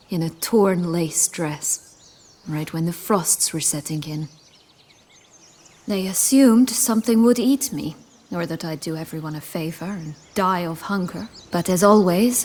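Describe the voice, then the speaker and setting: An actress playing a proud, bitter banished noblewoman turned bandit leader, wry and measured, in a fantasy game. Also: lowish voice, darkish tone. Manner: wry, measured, dramatic storytelling. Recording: faint ambient noise behind.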